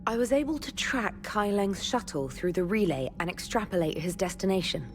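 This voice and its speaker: Actress as earnest female tech officer, medium pitch, tentative, precise, sci-fi game, music behind. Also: lively intonation, normal speed, close to an everyday voice. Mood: tentative, explaining. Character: earnest, tentative young female communications specialist.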